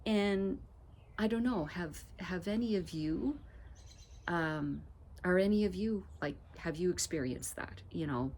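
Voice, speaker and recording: A low-pitched woman in her fifties chats casually in a vlog, sounding slightly stuffy from allergies. She rambles and laughs between thoughts. Faint outdoor ambience sits behind her on the deck, picked up by the camera mic. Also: measured pace, darkish tone, a little hiss or hum. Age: 50s. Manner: chatty, rambling, laughs often. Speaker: vlogger. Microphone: camera mic, no lapel mic.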